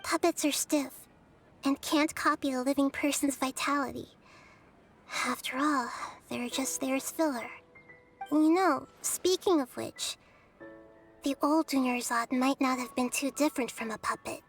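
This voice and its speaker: A woman voicing a gentle, wise, childlike young goddess in a video game, speaking softly in a measured, thoughtful, explanatory way. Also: high-pitched, faint music behind. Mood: wistful. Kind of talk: English video game dub acting.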